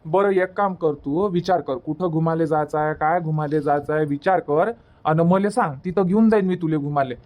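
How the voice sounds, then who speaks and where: A man's voice: a little high, moderate pace, a little mellow, natural voice. A man playing a placating, argumentative village husband in a cartoon comedy, in colloquial Varhadi Marathi, repeating himself to make his point. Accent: Varhadi Marathi from Vidarbha.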